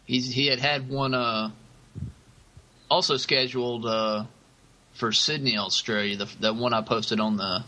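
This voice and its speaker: A man co-hosting a podcast in casual chat, speaking haltingly and often repeating himself. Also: medium pitch, steady tone, sharp tone, slight background noise, low-quality recording. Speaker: podcast co-host.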